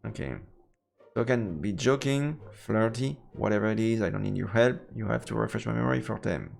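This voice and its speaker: A man streaming a let's-play, reading game text aloud in a halting way and commenting as he goes. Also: on the low side, vocal fry, darkish tone. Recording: roomy long reverb, game music behind. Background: video game music.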